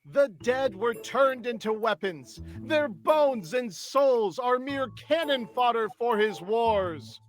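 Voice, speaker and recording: Zealous undead acolyte, slow solemn oratory, dramatic fantasy game voice, music underneath. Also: medium pitch, expressive. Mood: grim, denouncing. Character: zealous robed revenant acolyte preaching doom and deliverance.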